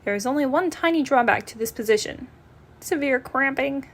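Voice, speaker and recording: Woman doing an expressive comic read-aloud, voice a bit on the high side. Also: moderate pace.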